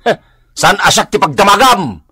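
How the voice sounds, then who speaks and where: Cantankerous old Ilocano man, loud scolder, sharp high voice, radio comedy drama. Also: sing-song, moderate pace, faint music behind, heavily acted. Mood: irritated, scolding. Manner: scolding, blustering, abrupt.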